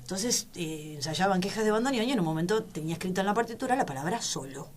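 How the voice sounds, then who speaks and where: A woman in her forties with a Rioplatense Argentine accent, a tango musician and teacher speaking as a radio interview guest, halting and often restarting her words. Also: mid-range voice, animated, slight background noise. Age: 40s.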